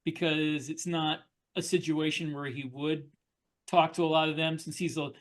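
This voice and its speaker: A man co-hosting a podcast as an author, talking casually and haltingly about writing fiction. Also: fairly high pitch, little pitch movement.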